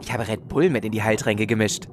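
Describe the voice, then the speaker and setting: A woman acts a matter-of-fact fighter in a comedic fantasy radio play. The voice is remarkably deep and deadpan, with faint noise behind. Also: quick pace. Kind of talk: comedic fantasy audio drama acting.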